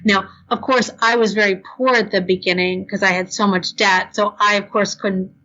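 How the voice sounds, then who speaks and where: Tired, talkative woman guest; casual, filler-heavy, a little hoarse, muffled remote audio. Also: mid-range voice, fairly even intonation, sharp tone. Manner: chatty, fast, lots of ums and you knows. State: tired, losing her voice. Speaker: blogger guest. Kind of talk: podcast interview, casual advice.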